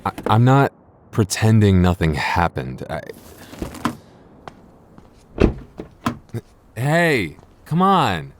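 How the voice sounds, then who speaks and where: A man playing a possessive but apologetic young boyfriend in an intimate audio roleplay, speaking in a halting, earnest, conversational way. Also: on the low side, wildly swooping pitch, background noise, heavily acted. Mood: apologetic, hesitant. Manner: hesitant, halting, stammering earnestly.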